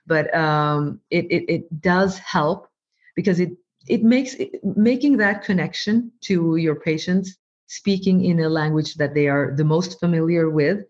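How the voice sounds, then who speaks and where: A woman's voice: deep voice, darkish tone. A woman, a doctor speaking as an interview guest, answering thoughtfully and often repeating words while she finds her phrasing. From Norway. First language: Norwegian. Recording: slightly muffled, lo-fi.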